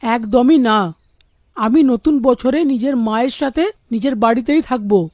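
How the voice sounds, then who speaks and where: Woman as a high, mellow young male student. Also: unhurried, dry recording. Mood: earnest, sensible. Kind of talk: children's cartoon dialogue.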